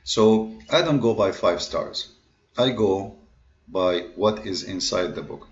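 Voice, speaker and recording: A man with a fairly low voice, giving a loose lecture-style talk. His speech stops and starts, full of fillers and repeated words, with grammar. The recording is dull and lo-fi. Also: animated. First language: Arabic. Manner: halting, many fillers and restarts, non-native grammar. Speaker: online religious debater and host. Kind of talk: casual lecture-style monologue. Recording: dull lo-fi sound.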